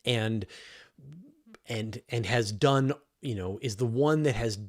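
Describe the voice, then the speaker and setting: A man's voice: medium pitch, slow. A man hosting a livestream, answering questions in a casual, conversational explainer style with everyday examples. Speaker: host of a space Q&A livestream.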